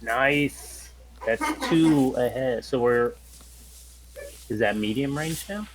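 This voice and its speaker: A man's voice: medium pitch, slow, low-quality recording. A man talking casually as a player in a tabletop role-playing game. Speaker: player, sensor operator.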